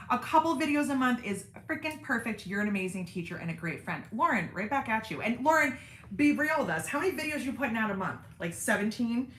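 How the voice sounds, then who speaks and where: A woman hosting a casual sewing livestream, reading viewer comments and chatting with lots of fillers and self-deprecating asides, with a fairly deep everyday voice. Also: lively intonation, fairly quick, fairly bright tone, roomy sound. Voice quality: deep speaking voice in everyday talk. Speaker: sewing channel host on a livestream.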